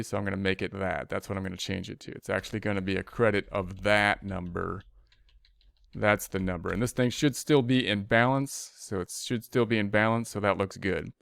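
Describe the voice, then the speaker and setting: A man's voice: fairly low pitch, clear, resonant tone, no room sound, clean studio sound. A man giving an informal spreadsheet tutorial as an instructor, thinking aloud step by step and often repeating himself. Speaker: accounting instructor.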